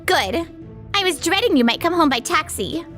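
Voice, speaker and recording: A woman playing a spoiled, resentful wife in a comic dub, sharp and complaining. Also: extremely high pitch, expressive, moderate pace, faint music behind. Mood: resentful nagging. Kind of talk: anime-style comic dub acting.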